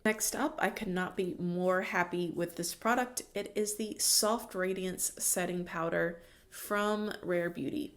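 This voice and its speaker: A woman beauty vlogger, congested from a cold, giving chatty, opinionated product reviews in casual slang. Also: lowish voice.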